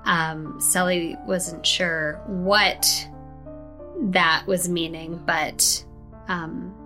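A woman's voice: lowish voice, little pitch movement, sharp tone, soft background music. A woman telling her character's backstory as a tabletop game player, hesitant with many fillers. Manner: unhurried, hesitant, many ums.

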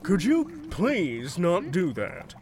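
An actor playing a self-righteous, scolding, insistent customer in an audio drama. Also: male voice, sits high, wildly swooping pitch, slow pace, a little mellow, full character voice. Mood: indignant. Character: indignant, self-righteous conspiracy-minded pet shop customer. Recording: noisy shop ambience behind.

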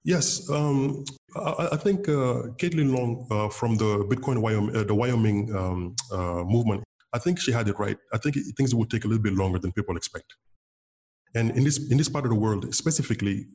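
A man's voice: on the low side, a little mellow. A man from Senegal whose first language is Wolof, a guest on an interview, talking casually with many fillers and restarts. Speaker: interview guest, bitcoin developer.

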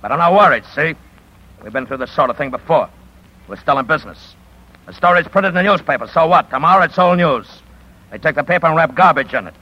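Coolly confident crime boss, husky, tough and dismissive, old radio thriller, muffled vintage sound. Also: male voice, on the high side, expressive, quick pace. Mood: smug, menacing. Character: menacing, smug, coolly confident male gangster boss. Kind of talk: 1950s American radio drama acting. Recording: dull vintage 1950s radio broadcast.

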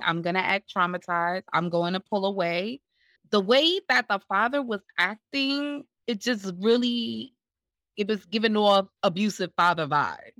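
Female podcast co-host, mid-range voice, dry close sound, expressive, acts out quotes. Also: medium pitch, dry recording. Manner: animated, acts out lines in voices. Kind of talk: casual movie discussion.

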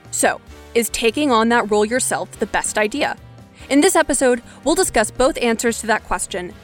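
A woman hosting a podcast, talking through a scripted explainer in a direct, instructive way. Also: a little high. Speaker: podcast host.

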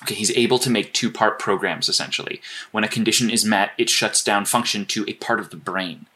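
An actor playing an earnest, apologetic strategist in an audio drama, speaking in a halting, analytical way. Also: male voice, fairly low pitch, sharp tone. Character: earnest, apologetic male strategist guiding teammate remotely. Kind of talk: naturalistic audio drama dialogue.

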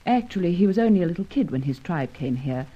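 An earnest young farmer's daughter in a vintage radio serial. Her voice is low and husky with lively rise and fall, her diction measured and matter-of-fact. The recording sounds muffled and old. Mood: sympathetic, explanatory. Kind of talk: old radio drama acting.

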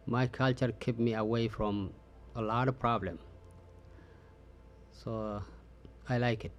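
A middle-aged man from Ethiopia whose first language is Oromo, answering interview questions in a halting way with many pauses and fillers. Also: mid-range voice, steady tone, dull audio. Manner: halting, frequent "uh" fillers, simple phrasing. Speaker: interview guest.

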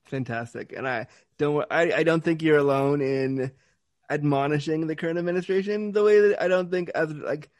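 A man hosting an interview, talking in an animated, chatty way with frequent restarts. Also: a little high, moderate pace, dry recording, clean studio sound. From Canada. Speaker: interview host. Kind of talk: casual conversational interview.

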